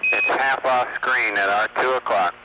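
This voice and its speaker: An American man speaking over a space-mission radio link, halting and matter-of-fact as he reports technical observations. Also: medium pitch, steady tone. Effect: space-mission radio transmission. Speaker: astronaut or mission radio operator. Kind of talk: live mission radio communication. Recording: very noisy archival space radio transmission.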